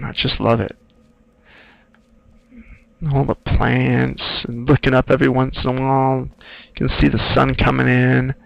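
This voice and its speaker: A man giving casual, rambling playthrough commentary in an informal, halting, musing way. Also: mid-range voice, gravelly, dull audio. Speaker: screenwriter doing game playthrough commentary.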